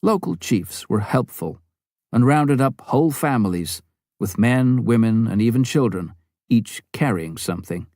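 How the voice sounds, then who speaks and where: A man's voice: mid-range voice, expressive, a little slow, darkish tone, dry recording, studio quality. A man reading a memoir aloud as scripted audiobook narration.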